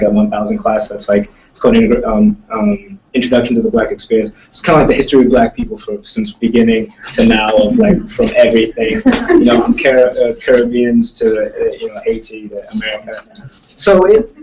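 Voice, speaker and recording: A man's voice: mid-range voice, a little mellow, recorded from a distance, lo-fi sound, a little hiss or hum. A young man, a student, answering audience questions in casual talk, thoughtful and rambling, with many restarts. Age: young adult. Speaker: student speaker.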